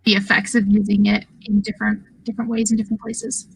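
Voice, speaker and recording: A woman's voice: mid-range voice, flat delivery, quick pace, creaky, slightly rough, poor audio. A woman guest on a gaming podcast, chatting casually. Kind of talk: casual chat.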